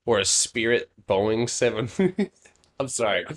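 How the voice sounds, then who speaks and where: A man's voice: mid-range voice, very animated, measured pace. A man in his late twenties hosting a live stock trading stream, chatting casually and rambling with jokes that trail off into mumbles. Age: 20s.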